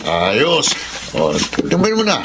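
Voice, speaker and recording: Tipsy, animated Filipino drinking buddy. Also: male voice, on the high side, slow, heavily acted. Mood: tipsy, jovial. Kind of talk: Filipino radio drama acting. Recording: clear background noise.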